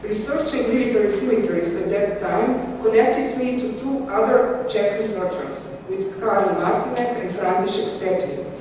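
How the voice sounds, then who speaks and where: A woman's voice: medium pitch, moderate pace, few pauses, darkish tone, a little hiss or hum. A woman with a Slovenian accent, a conservator giving a conference presentation in English, hesitant with many fillers and restarts. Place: conference hall. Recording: echoey hall heard from far off.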